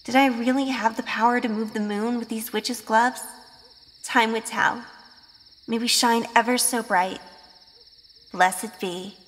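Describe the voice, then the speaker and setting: Woman playing an earnest young schoolgirl witch, higher voice. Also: fairly even intonation, a little slow, pauses often, fairly bright tone. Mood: wistful. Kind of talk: first-person audio drama narration and dialogue.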